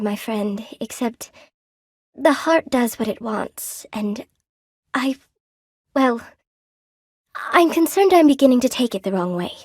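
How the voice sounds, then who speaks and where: An actress voicing a friendly, lonely weaver spider woman in a video game, with a gentle, quaint, expressive and chatty manner. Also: a little high, no echo, clean studio sound. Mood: wistful, shy. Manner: gentle, quaint, folksy, expressive, self-effacing. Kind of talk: video game character acting.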